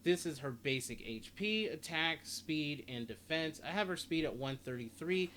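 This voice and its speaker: Man, fairly high voice, casual gaming guide narration, noisy background with game ambience. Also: animated, normal speed, pushed and bright. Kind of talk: casual game guide commentary, reading stats aloud. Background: game sounds and ambience.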